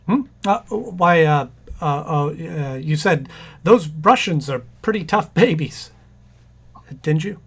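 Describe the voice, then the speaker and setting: A man's voice: fairly high pitch, lively intonation, slow, a bit bright, husky, exaggerated voice. A man playing a distracted, mild-mannered husband in a 1940s-style radio comedy, hesitant and stammering. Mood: flustered. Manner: hesitant, stammering, absent-minded.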